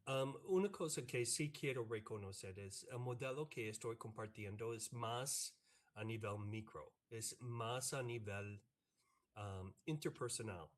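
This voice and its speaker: An American man, a professor and native English speaker, answering in a panel over a video call, halting with many fillers and restarts. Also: medium pitch. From United States. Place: online video meeting. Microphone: computer mic on a video call.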